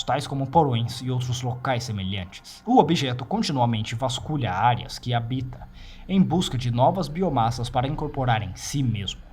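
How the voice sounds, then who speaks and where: Brazilian man reading formally, medium pitch. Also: darkish tone, husky. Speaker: narrator of horror fiction files. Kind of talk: scripted narration of clinical report.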